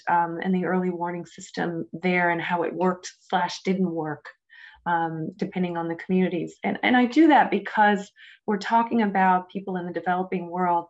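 Woman moderating a muffled webinar. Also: lowish voice. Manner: hesitant, many fillers. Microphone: video call. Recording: dull lo-fi video call audio.

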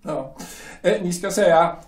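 A man playing a pompous shipyard director in a radio play, lecturing in a condescending, halting way. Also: high voice, close to an everyday voice. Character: pompous middle-aged male shipyard director, party loyalist. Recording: echoey room, distant mic.

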